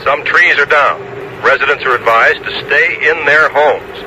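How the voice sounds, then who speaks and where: A man's voice: mid-range voice, normal speed, natural voice. An actor playing a radio newsreader, a man delivering a formal, grave scripted bulletin. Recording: noisy vintage radio broadcast.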